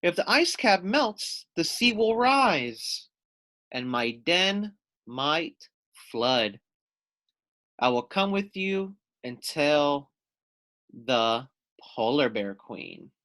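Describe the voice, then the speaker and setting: A man's voice: fairly high pitch, big pitch swings, drawn-out words, fairly bright tone, exaggerated voice. A man voicing a worried, snuffling Arctic animal from a children's storybook.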